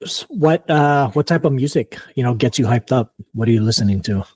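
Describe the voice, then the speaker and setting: A man hosting a podcast interview, asking relaxed questions and giving short, easygoing replies. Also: mid-range voice, fairly quick, slightly rough, dry recording. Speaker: podcast host. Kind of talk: casual interview.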